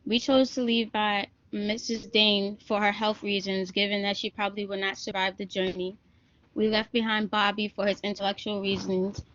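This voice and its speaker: Young woman student presenter, flat, faint noise, dull call audio. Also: mid-range voice. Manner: explaining reasons, with slips. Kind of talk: class presentation.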